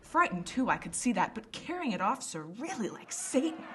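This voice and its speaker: A woman's voice: on the high side, big pitch swings, fairly quick, noisy background. A woman playing a well-known Victorian lady about town, recounting events dramatically in a radio drama. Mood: indignant, dramatic. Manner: dramatic storytelling, emphatic.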